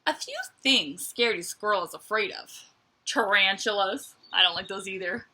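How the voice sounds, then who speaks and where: Lady teacher doing a kids read aloud, bright expressive voice, chatty asides, bit of background noise. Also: mid-range voice, moderate pace. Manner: expressive, dramatic emphasis, conversational asides to young listeners. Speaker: teacher reading a picture book aloud.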